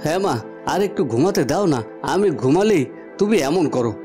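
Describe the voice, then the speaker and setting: Man acting a grumbling, dutiful young villager, highish voice. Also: expressive, brisk. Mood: sleepy, grumbling. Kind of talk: cartoon voice acting. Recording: music behind the voice.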